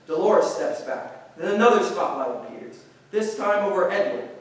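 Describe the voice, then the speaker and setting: A man's voice: sits high, lively intonation, normal speed. A man reading stage directions as scripted narration at a staged play reading. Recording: very echoey room, distant mic, faint noise.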